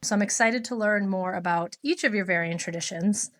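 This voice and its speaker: A woman's voice: medium pitch, a little fast. A woman, speaking as a podcast host introducing and interviewing guests.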